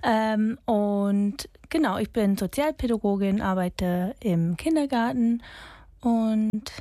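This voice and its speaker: A woman's voice: medium pitch, slow pace, mellow, no echo. A woman in her thirties from Great Britain who speaks German with a British accent, co-hosting a casual podcast. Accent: British English. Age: 30s. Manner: short supportive replies. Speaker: podcast co-host. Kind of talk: casual chat.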